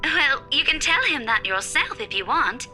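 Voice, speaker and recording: A woman's voice: sits high, very animated, moderate pace, sharp tone, soft background music, exaggerated voice. A woman playing a friendly, slightly awkward young teacher in a visual novel, speaking in a casual, clipped way. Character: breezy, friendly young female schoolteacher, a bit awkward.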